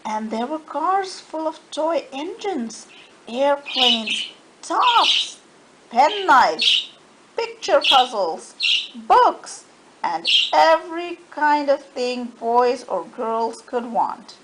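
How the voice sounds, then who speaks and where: A woman reading a storybook aloud to children with expressive narration. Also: sits high, drawn-out words. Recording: faint background noise.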